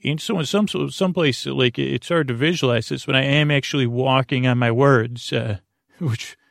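Guy rambling on a sleep podcast, lots of vocal fry, hesitant, trips over words, really dry close mic. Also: mid-range voice, moderate pace, a bit bright, dry recording. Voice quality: creaky. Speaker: podcast host.

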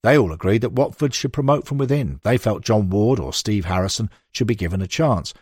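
A man reading a non-fiction sports book aloud as a scripted, expressive narration. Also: fairly low pitch, brisk, slightly rough, no room sound, studio quality. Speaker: audiobook narrator.

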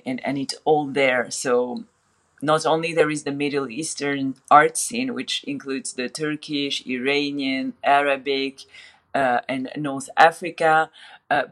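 A woman's voice: a bass voice, fairly bright tone. A woman, speaking as a guest on a remote interview about her art advisory work and her life. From France. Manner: fluent, with many repeated starts. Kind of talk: casual interview chat. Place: remote call from another city.

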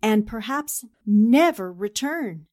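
A woman's voice: medium pitch, lively intonation, takes it slowly, a little mellow. A woman playing a determined, eager newspaperwoman in the style of 1940s radio drama. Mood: eager, persuasive. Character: determined, eager newspaperwoman investigating a haunted house.

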